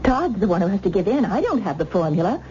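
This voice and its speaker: A woman's voice: medium pitch, very animated, quick pace, mellow, natural voice. A woman playing a brave, stubborn captive wife, intense and defiant, in old-time radio thriller style. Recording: dull, hissy old radio recording.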